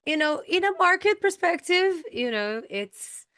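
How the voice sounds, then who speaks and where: A woman's voice: very high voice, big pitch swings, measured pace, a bit bright, no echo. A teacher, a woman whose first language is Portuguese, speaking in a casual podcast interview, thoughtful and laughing lightly between points.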